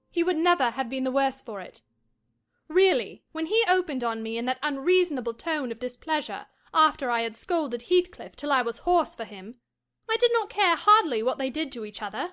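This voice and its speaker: A woman playing a passionate, wilful young married gentlewoman in a dramatized novel reading, theatrical and distressed. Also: high-pitched.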